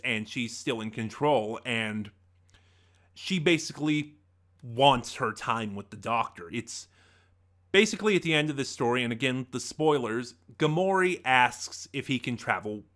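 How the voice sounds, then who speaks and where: A man reviews in a casual, conversational way, thinking aloud and sharing his opinions. His voice is bright and forward, with lively swings in pitch. It sits at a middling height for a man. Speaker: reviewer, host of a review channel. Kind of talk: casual spoken audio drama review.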